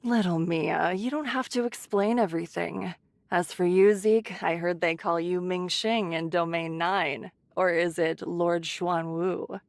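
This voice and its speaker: A woman voicing a caring, brisk shelter leader who scolds in a motherly, teasing way, in an English anime game. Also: lowish voice, fairly even intonation, vocal fry, no room sound. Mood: fond.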